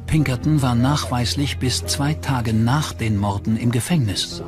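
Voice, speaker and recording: Male narrator, mid-pitched, scripted true crime documentary read over a strong music bed. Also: unhurried. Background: prominent music.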